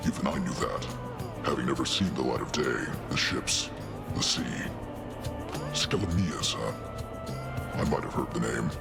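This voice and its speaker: A man's voice: a bass voice, animated, a little slow, gravelly, pauses often, loud music behind the voice, exaggerated voice. An actor playing a hulking, stoic bull-man warrior in a video game, speaking in a solemn, formal way. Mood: grave, stoic. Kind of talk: video game character dialogue.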